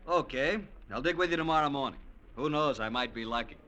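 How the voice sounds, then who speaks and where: An actor playing a charming, easygoing investor on vacation, hearty and smooth-talking in a vintage radio drama. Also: male voice, mid-range voice, brisk, bright, forceful tone, lightly acted. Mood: jovial. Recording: old radio recording with faint noise.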